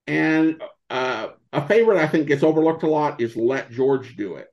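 A man speaking as a podcast guest in casual interview chat, halting as he thinks aloud. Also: on the high side, animated, a little mellow, muffled recording. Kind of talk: casual interview chat about old radio shows.

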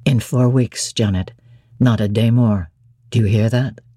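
Woman playing a deep-voiced masterful gentleman lover. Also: steady tone, measured pace, husky, dry recording, clean studio sound, full character voice. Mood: teasing, commanding. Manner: tender, commanding, teasing. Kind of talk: dramatic classic novel reading.

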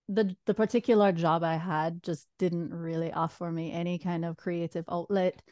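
Jamaican woman, guest chef on a podcast, smooth lowish voice, laughs easy. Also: no room sound, studio quality. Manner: relaxed, laughs easily, restarts phrases. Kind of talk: casual podcast chat.

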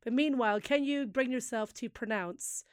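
A woman's voice: a little high, moderate pace, clear, resonant tone, no room sound, clean studio sound. A woman co-hosting a podcast, chatting bluntly and opinionatedly, laughing often. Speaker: podcast co-host. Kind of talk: casual chat.

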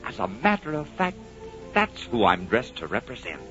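A man acting a dashing, reckless gentleman highwayman in a 1940s radio romance, with suave theatrical delivery. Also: huge pitch swings, normal speed. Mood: playful, charming. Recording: dull old radio recording with music.

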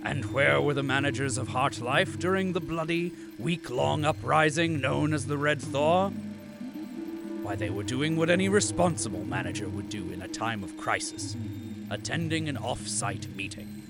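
Gravelly, pompous propaganda narrator. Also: male voice, medium pitch, expressive. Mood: pompous, disdainful. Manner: pompous, florid, measured. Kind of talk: scripted audio drama narration. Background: music.

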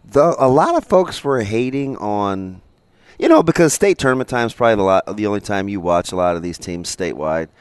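Deep-voiced, animated man joking around. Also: a little fast, clear, resonant tone, dry recording, studio quality. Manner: joking, animated, jumps between thoughts. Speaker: radio co-host. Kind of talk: casual chat.

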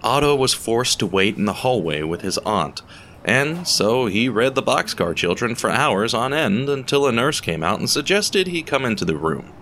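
A man reading a scripted story as narrator, in a measured, dramatic way with deliberate pauses. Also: mid-range voice, sing-song, bright, forceful tone, slight background noise. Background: music.

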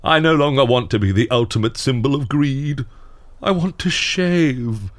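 An actor playing a jaded, fed-up Santa Claus in an audio comedy drama, with a dry, grumbling, world-weary delivery. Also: male voice, medium pitch, animated, moderate pace, natural voice. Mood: weary, resolute.

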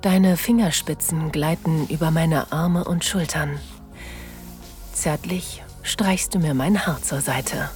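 A woman playing an excited, sensual, playful married woman in an intimate audio drama, breathy and dropping into whispers. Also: low-pitched, slow, soft background music. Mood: tender arousal. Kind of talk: intimate first-person German audio drama narration.

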